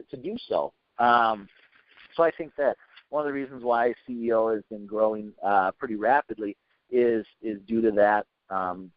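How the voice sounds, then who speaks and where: A man hosting a business training call, giving an informal motivational talk with halting, repetitive, hesitant phrasing. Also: medium pitch, no echo. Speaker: sales team leader hosting a training conference call. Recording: muffled conference phone call.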